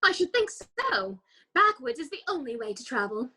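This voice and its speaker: A woman's voice: high voice, sing-song, normal speed, bright, forceful tone, breathy edge, heavily acted. A woman playing a prim, self-satisfied, dramatic talking flower in a children's readers' theater performance.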